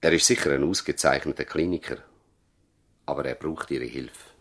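An actor playing a courteous older country doctor in a radio play, speaking formally with a Swiss German accent. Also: male voice, rumbling low, moderate pace, fairly bright tone. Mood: confiding. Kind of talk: 1970s radio drama dialogue.

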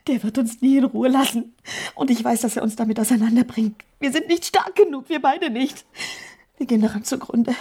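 An actress playing a scheming, manipulative wife in a radio crime drama, coaxing between pleading and breathy whispers. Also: female voice, fairly high pitch, brisk, warm tone. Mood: tearful, frightened. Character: scheming, manipulative wife urging her lover toward murder.

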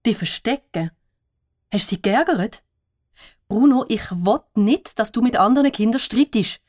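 A woman playing a concerned, scolding mother in a children's audio story, speaking in an expressive, reproving way. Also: a little high, measured pace. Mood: reproachful.

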